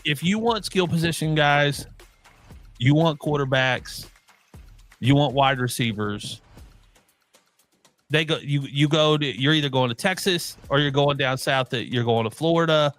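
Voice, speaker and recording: A man with a somewhat high voice talks sports in a loose, rambling way, often restarting his sentences. His accent is a blend of Midwestern and Oklahoma speech. Faint music plays behind him. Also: a little slow, long pauses. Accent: mixed Midwestern and Oklahoma. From Midwestern United States. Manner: animated, rambling with many restarts. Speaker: co-host. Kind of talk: casual sports chat.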